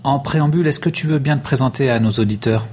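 A man's voice: mid-range voice, fast talker, darkish tone, husky. A man hosting a podcast, reading introductions and putting interview questions. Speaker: podcast host.

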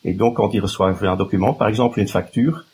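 Husky low-voiced man with a Flemish accent. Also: fast talker, a little mellow. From Flanders, Belgium. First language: Dutch. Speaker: guest security expert. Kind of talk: explanatory interview talk.

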